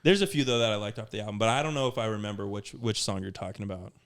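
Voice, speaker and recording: A man's voice: on the low side, very fast. A man in his late twenties, a podcast co-host telling stories in casual chat and acting out what people said. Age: 20s. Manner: animated storyteller, acts out quotes.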